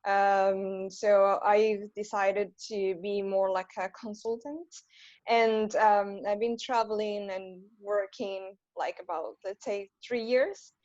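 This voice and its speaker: A woman's voice: mid-range voice, steady tone, slow, a little mellow, no room sound, lo-fi sound. Argentine woman chatting on a call. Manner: chatty, friendly, fillers and light laughs. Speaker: panel guest. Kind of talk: casual panel talk.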